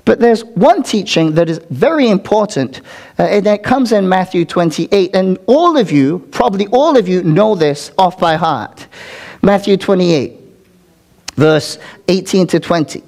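A pastor preaches to his congregation in an earnest sermon, often checking in with the room through call-and-response asides. His voice sits notably high for a man. He is speaking in a church sanctuary. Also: animated, a little slow, vocal fry, a little mellow.

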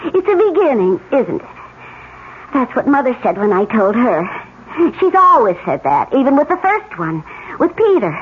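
A woman's voice: a little high, big pitch swings, moderate pace. An American woman acting a weary, fretful housewife and mother in her forties in a 1970s radio drama, plaintive and hesitant. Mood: bitter, resigned. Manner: plaintive, self-pitying, hesitant. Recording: muffled, noisy 1970s radio recording.

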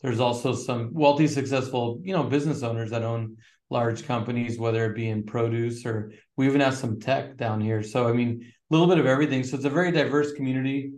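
A middle-aged man from Northern California, a real estate agent chatting casually as an interview guest with relaxed fillers. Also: medium pitch, fairly quick, echoey room. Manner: relaxed, fillers, flat steady delivery.

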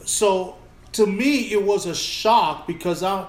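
A man of Puerto Rican family chatting on a podcast, animated and fond of "you know what I'm saying". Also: sits high, takes it slowly. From Puerto Rican family, raised in Houston. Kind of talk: casual chat. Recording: echoey room.

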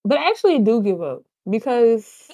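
A woman's voice: a little high, big pitch swings, normal speed, dark tone, dry recording, highs cut off. A woman co-hosting a podcast, ranting and joking in casual, profane banter. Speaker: podcast co-host.

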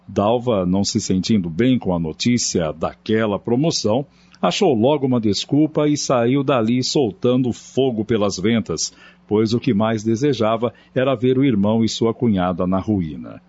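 A man's voice: on the low side, moderate pace, a little mellow, breathy edge. A man narrating a scripted radio drama. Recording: dull lo-fi audio, music underneath.

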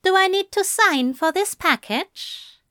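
A woman's voice: extremely high pitch, expressive, unhurried, bright, forceful tone, dry recording, studio quality, voice pushed up, full character voice. A woman voicing a prim male angel in an audio story, with a coy, genteel, lilting and affectionate manner. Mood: teasing, playful.

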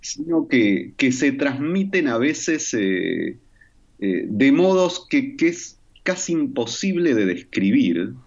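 A man, answering interview questions in a measured, reflective way and checking in with "¿no?". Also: mid-range voice, big pitch swings, darkish tone. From Argentina. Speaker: guest. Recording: muffled lo-fi audio.

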